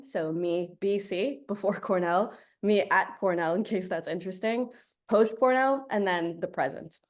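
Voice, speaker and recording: A young woman from Colombia, a native Spanish speaker, giving a chatty, upbeat guest talk over a video call and laughing often. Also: lowish voice.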